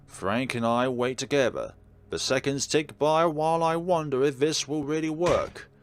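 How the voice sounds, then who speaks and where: A man's voice: medium pitch, moderate pace. A man narrating and commenting on a game as he plays it. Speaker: game streamer. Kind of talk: let's play commentary and read-aloud narration.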